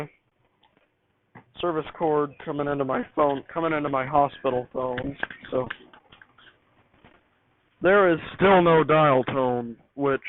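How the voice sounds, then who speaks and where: A man talking casually and off the cuff as he fiddles with gear, muttering to himself and repeating his phrases. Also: fairly high pitch. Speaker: amateur vlogger showing home radio studio. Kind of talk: casual improvised talk while troubleshooting gear. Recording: tinny phone-like band, hissy background noise.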